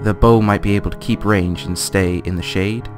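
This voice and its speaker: A man giving a theatrical, deliberate dramatic reading of a fantasy guidebook. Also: fairly low pitch, steady tone. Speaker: let's play gamer. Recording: guitar music behind voice. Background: guitar music.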